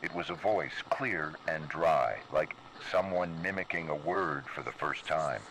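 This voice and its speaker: Man, scripted horror storytelling, first-person narrator, very deep pitch, noisy background. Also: unhurried, fairly bright tone. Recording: clear background noise.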